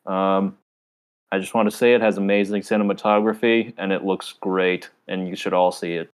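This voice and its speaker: A young man co-hosting a podcast in casual chat, talking in a low-key, flat, monotone way. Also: moderate pace, clean tone. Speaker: podcast co-host.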